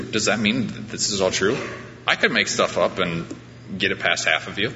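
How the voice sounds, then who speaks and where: Male pastor, low forceful voice, conversational and self-effacing sermon delivery, recorded in a big echoing space. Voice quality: deep voice. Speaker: pastor preaching at a church. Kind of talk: informal sermon. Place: large reverberant church room during a service. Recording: reverberant hall, muffled, background hum.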